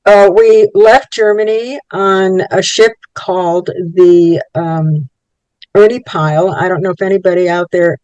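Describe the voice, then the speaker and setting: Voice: medium pitch, a little mellow, no room sound, poor audio. A person in their eighties, Yiddish-speaking from birth, thoughtfully recalling a childhood as a Holocaust survivor. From Poland. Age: 80s. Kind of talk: personal recollection for a documentary.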